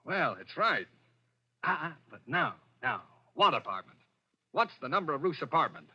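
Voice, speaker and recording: A jittery man on the run, with a tense, bright edge and a slightly rough grain. He hesitates and stammers evasively in old noir thriller style, heard through a muffled vintage radio recording. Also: fairly high pitch, big pitch swings, normal speed, full character voice. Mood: nervous probing. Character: jittery fugitive man with lost memory, evasive noir hero. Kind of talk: 1940s radio thriller acting.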